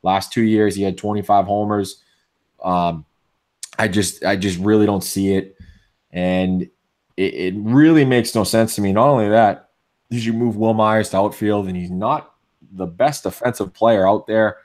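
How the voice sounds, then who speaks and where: A man with a Boston accent co-hosting a casual sports podcast, opinionated and full of restarts, with a voice going hoarse. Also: on the low side, measured pace, darkish tone. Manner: opinionated, many restarts and fillers. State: losing his voice. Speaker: podcast co-host.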